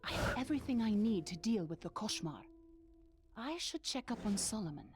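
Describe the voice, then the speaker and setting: A woman's voice: medium pitch, sing-song, normal speed, darkish tone, close to an everyday voice. A woman playing a determined, earnest young heroine, a loyal monster hunter in a fantasy game, with music behind her. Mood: focused, planning. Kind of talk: fantasy game character acting.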